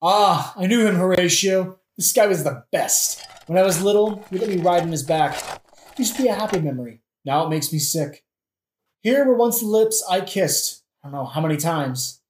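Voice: medium pitch, expressive, normal speed, natural voice. An actor in a satirical audio play playing an exasperated, brooding young prince who talks in a casual, sweary, dramatic way. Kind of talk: satirical modern-language audio play acting. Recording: echoey room with faint noise.

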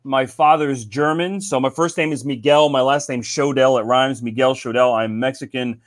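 A man from Cincinnati, Ohio, a cigar company guest in casual podcast chat, telling stories in a relaxed way and often saying "man". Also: medium pitch, moderate pace, no echo, clean studio sound.

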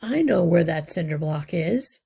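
A woman's voice: lowish voice, animated, unhurried, creaky, dark tone, husky, full character voice. A woman voicing a stocky, practical male rat engineer in a storybook, matter-of-fact and confident.

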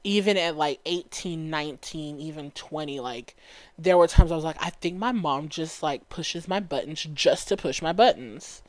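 A woman's voice: deep voice, normal speed, a little mellow, no room sound, low-quality recording. A young woman from Dallas co-hosting a podcast, chatting casually with a light, valley-girl sounding voice and lots of "like" fillers. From Dallas, Texas. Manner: chatty, many "like" fillers, laughs easily. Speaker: podcast co-host. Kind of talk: casual chat.

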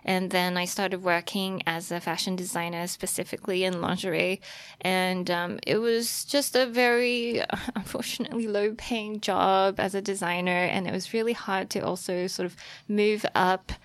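A woman's voice: mid-range voice, level tone, normal speed, dry recording. A young adult woman, chatting casually as a guest in an interview. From Australia, born in Taiwan. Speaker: podcast guest, fashion influencer.

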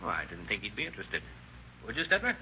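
An actor playing a shrewd police lieutenant, sardonic and pressing, in an old radio crime drama interrogation. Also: male voice, fairly low pitch, huge pitch swings, quick pace, sharp tone, full character voice. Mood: slyly casual. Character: shrewd, needling, hard-driving male police lieutenant interrogator. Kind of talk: 1930s-1940s radio crime drama acting. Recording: dull, noisy old radio recording.

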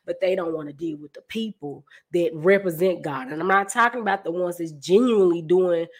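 A woman in her thirties hosting a casual discussion, chatty and animated. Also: fairly low pitch, fairly quick. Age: 30s. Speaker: podcast host.

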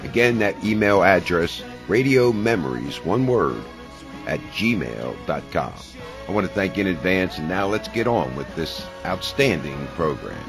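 A man's voice: deep voice, very animated, unhurried. A man hosting a podcast, delivering a spoken fundraising appeal straight to listeners. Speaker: podcast host. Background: music.

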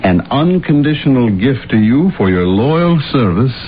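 An actor playing a cool, stern police detective in an old-time radio drama, measured, dry and authoritative. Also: male voice, mid-range voice, sing-song, close to an everyday voice. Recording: dull old radio recording, faint hiss.

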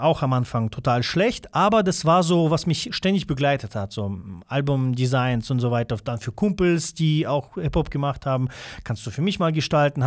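A young man whose first language is Russian, chatting as an interview guest over a video call. His voice sits a little high and sounds dry and close, with no room echo. He rambles with plenty of fillers and pokes fun at himself. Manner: rambling, self-deprecating, many fillers. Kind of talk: casual interview chat.

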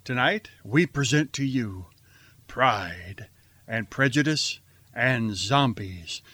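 A man's voice: mid-range voice, animated, slow pace, sharp tone, no room sound, exaggerated voice. A man playing a bumbling, earnest, zombie-loving host of a radio theater show, delivering dramatic introductions. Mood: theatrical welcome.

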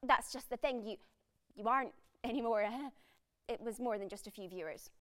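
A nervous, apologetic junior employee acted by a woman with a high voice, in a comedy drama. She hesitates and stammers, as if reluctant to say each next word. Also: a little fast, a bit bright, lightly acted. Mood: apologetic, awkward. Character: timid, apologetic junior TV employee bringing bad news.

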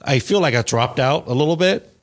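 A man in his forties, talking through his career in a casual interview, halting with fillers and restarts. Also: fairly high pitch, animated. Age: 40s.

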